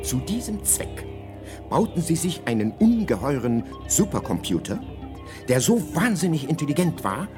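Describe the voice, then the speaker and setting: An actor playing a wry, knowing talking guidebook, narrating a radio play with dry asides and deliberate hesitations. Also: male voice, fairly high pitch, sing-song. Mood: wry, storytelling. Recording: music behind the voice.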